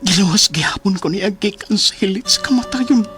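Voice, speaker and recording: High-voiced, repentant devout Cebuano man. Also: a little fast, fairly bright tone. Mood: remorseful, tearful. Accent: Cebuano Filipino. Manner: heartfelt, pleading. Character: humbled, repentant, devout man saved from death. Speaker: radio drama actor. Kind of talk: emotional radio drama acting. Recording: radio drama with music underneath.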